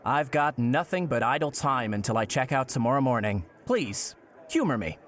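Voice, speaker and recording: An actor playing a courteous, curious, old-fashioned gentleman traveler in a period audio drama, with polite and playfully florid speech. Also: medium pitch, sing-song, normal speed. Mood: charming, curious.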